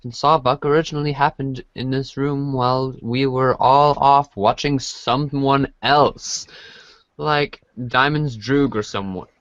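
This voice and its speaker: A man reading comic narration aloud for a podcast, halting and correcting himself as he goes. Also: medium pitch, a bit bright, no echo, highs cut off. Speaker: reader in a webcomic reading podcast.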